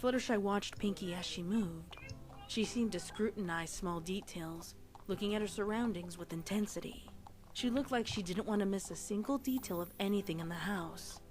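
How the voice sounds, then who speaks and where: A woman's voice: medium pitch, moderate pace. A woman reading scripted story narration in a dramatic style. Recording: music behind the voice.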